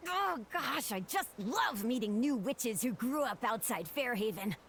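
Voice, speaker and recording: A woman's voice: a little high, expressive, unhurried, fairly bright tone, a little hiss or hum. An actress playing a gushing, friendly witch in a cozy village video game. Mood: gushing, delighted.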